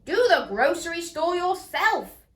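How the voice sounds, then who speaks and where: A woman voicing a grumpy, rude male pig who speaks slowly and loudly. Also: extremely high pitch, very animated, voice pushed up, full character voice. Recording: roomy echo.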